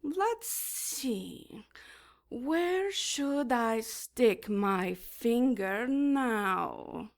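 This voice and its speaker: A cruel she-demon for a radio play, drawing out every word very slowly. She is mocking and menacing, relishing each threat. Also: a little high, animated, exaggerated voice. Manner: slow, mocking, savoring threats. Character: sadistic, taunting female demon, silky and menacing. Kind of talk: audio drama acting.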